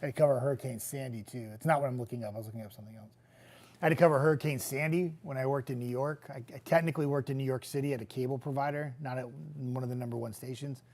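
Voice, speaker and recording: A man's voice: medium pitch, steady tone, very fast. A young man, a TV weatherman who says he is getting sick and losing his voice, chatting casually with viewers and reading their comments. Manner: chatty, easygoing, trailing off into quiet asides. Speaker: TV meteorologist hosting a viewer chat.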